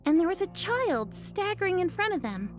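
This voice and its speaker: A woman's voice: very high-pitched, very animated, moderate pace. A woman voicing a chatty young village woman in a video game, gossiping about what she saw. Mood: recounting. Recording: game audio with music underneath.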